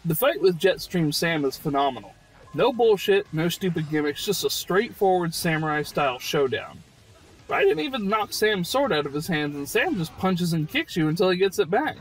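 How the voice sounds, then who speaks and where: A man's voice: a little high, very animated, normal speed, noisy background. A man giving casual, enthusiastic and jokey commentary over game footage. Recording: voice-over with game music behind.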